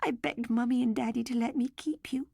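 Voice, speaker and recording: A woman voicing a little girl who pleads and sobs over losing her robot doll, her voice pitched high. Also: fairly even intonation, a little fast, gravelly, warm tone, slightly rough, dry recording, studio quality. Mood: tearful pleading.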